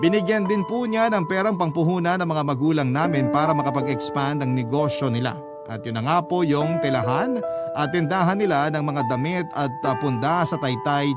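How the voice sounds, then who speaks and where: Man playing grateful brother narrator, higher-than-average male voice, earnest, respectful, scripted radio drama, loud musical backing. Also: natural voice. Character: grateful younger brother narrating his family's life story.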